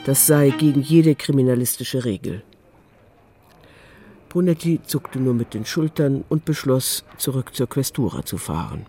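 Guy narrating a radio crime play, dark mellow voice, middle pitch, sober, bit of music behind. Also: lively intonation, measured pace. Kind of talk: scripted audio drama narration. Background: faint music.

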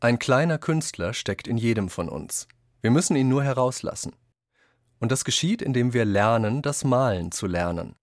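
A man from reads quoted texts for a radio essay, deliberately and with clear articulation. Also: medium pitch, expressive, no echo, studio quality. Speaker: reader of quotations in a radio essay. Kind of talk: scripted reading of quoted texts.

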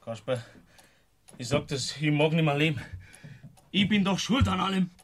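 An actor playing Flori, a young villager who is guilt-ridden and hot-tempered, speaking in an anguished, flaring way in a classic radio play. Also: male voice, a little high, animated, moderate pace.

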